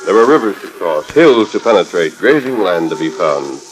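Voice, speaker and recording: A man's voice: low-pitched, very animated, moderate pace, poor audio. A man playing the stately American narrator of a 1940s Western radio serial. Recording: old radio disc with loud music behind.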